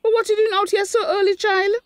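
An actress playing a nosy, indignant older village auntie in a radio drama, scolding in Creole with a Caribbean accent. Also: female voice, very high voice, flat delivery, quick pace, dry recording, clean studio sound.

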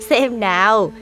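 A woman's voice: high-pitched, heavily acted. A woman voicing a cruel female centipede villain in a cartoon, taunting her prey with theatrical menace. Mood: scheming hunger. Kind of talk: animated fairy-tale dubbing. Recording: music behind the voice.

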